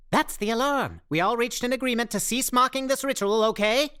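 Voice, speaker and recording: A woman's voice: on the high side, moderate pace, a bit bright, smooth, natural voice. A woman playing a pedantic, self-important zombie theorist in a radio play, with formal, wordy diction. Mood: indignant.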